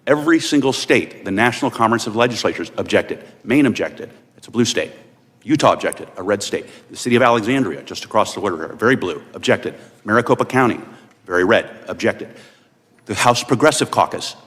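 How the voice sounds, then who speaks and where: A man giving formal testimony as a hearing witness, setting out his points emphatically and deliberately. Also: lowish voice, animated, fairly bright tone. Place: large indoor hearing room. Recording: reverberant hearing room.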